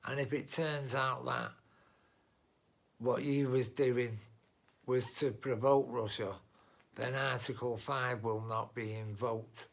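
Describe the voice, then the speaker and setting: A man's voice: mid-range voice, steady tone, slow, creaky, pauses often. A man giving a blunt, rambling monologue straight to his viewers, with restarts and asides. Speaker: vlogger. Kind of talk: unscripted political monologue.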